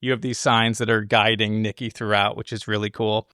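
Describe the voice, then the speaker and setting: A man's voice: lowish voice, little pitch movement, fairly quick, bright, forceful tone, clear, resonant tone, dry recording. Man talking film, close dry sound. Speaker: podcast host. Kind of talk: casual film analysis chat.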